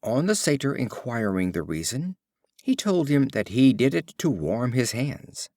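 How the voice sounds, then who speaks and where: A male audiobook narrator reads short fables in a measured, storytelling way. His intonation rises and falls widely, with a mid-range pitch. The sound is dry, with very little room around it. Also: dry recording. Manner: measured, expressive storytelling delivery.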